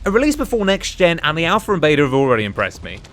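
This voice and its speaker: Male game reviewer, very lively intonation, fairly high voice, emphatic scripted countdown narration, light background music. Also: racing. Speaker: video game reviewer and host. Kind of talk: scripted narration of a top five games list.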